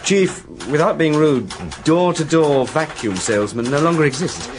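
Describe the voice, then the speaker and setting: An actor playing an eager, slightly cheeky junior police detective in a radio drama, frank but deferential. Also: male voice, a little high, normal speed, background noise, natural voice. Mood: keen, questioning. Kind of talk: naturalistic radio drama acting.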